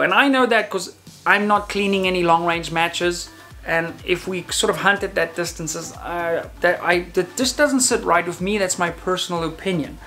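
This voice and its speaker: A man in his thirties talking casually to camera as a channel host, in a conversational way with hesitant, self-qualifying pauses. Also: fairly high pitch, sharp tone. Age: 30s. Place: indoor room, talking to camera.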